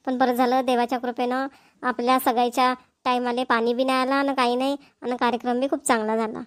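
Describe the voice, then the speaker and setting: Lady doing a talkative village housewife for a cartoon, really high voice, varhadi accent, homely and emphatic. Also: little pitch movement, quick pace, smooth, lightly acted. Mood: pleased, relieved. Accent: rural Maharashtrian, Varhadi. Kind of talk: Marathi village family cartoon dialogue.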